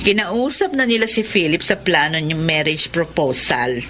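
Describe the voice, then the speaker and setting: Theatrical, scornful aunt acted by a woman, very animated. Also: low voice, moderate pace, slight background noise, full character voice. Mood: scheming, smug. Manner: theatrical, drawling, slips English phrases into Tagalog. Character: scornful, vengeful aunt plotting against a young man. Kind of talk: Filipino radio romance drama acting.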